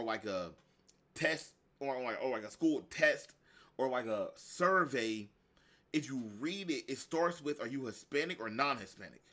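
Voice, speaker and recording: A man on a casual rant, blunt and full of slang and curses. His voice sits a little high and sounds bright and forward. He talks loose and animated, repeating himself for emphasis.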